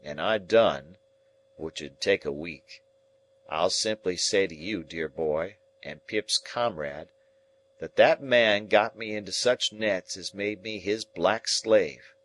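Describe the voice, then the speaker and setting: A man voicing a rough old male convict, gruff and plainspoken, in a rustic dialect. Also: low-pitched, slow, fairly bright tone. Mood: grim storytelling.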